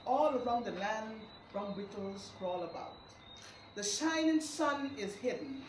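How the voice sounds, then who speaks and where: Performance poet, young woman, slow dramatic reading, very expressive pitch, echoey noisy room. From Guyana. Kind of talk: dramatic poetry recital. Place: indoor live recital event. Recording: echoey room with clear noise.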